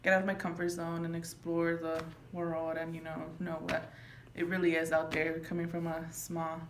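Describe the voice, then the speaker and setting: A woman's voice: sits low, completely flat delivery, moderate pace. Young woman, reflective with fillers, faint background hiss. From Florida. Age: young adult. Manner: reflective, frequent ums. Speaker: student interviewee. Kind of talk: casual interview answers. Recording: echoey room with faint noise.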